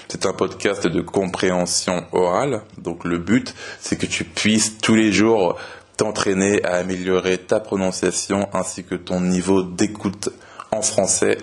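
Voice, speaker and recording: Podcast host, man in his twenties from France, very deep voice, calm and hesitant, speaks slowly for learners. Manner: relaxed, steady, with frequent hesitations. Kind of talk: slow clear podcast talk for learners.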